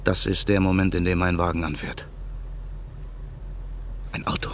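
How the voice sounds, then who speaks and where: An actor playing a brooding man bent on revenge, narrating his own thoughts in a radio play with a husky voice. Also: sits low, little pitch movement, slight background noise. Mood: brooding, tense. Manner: slow, brooding inner monologue. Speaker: narrator and lead character.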